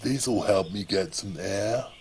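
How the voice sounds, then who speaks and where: A man's voice: lowish voice, takes it slowly, vocal fry, a little mellow, husky, heavily acted, poor audio. A man voicing a laid-back young grasshopper dude in a children's storybook, with a slangy drawl. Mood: laid-back, pleased. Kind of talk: children's rhyming storybook character voice.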